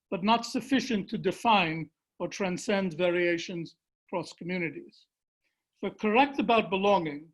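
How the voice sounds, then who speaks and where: A man presenting an academic paper over a video call, reading in a measured, deliberate way and then answering questions. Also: sits high. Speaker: seminar presenter.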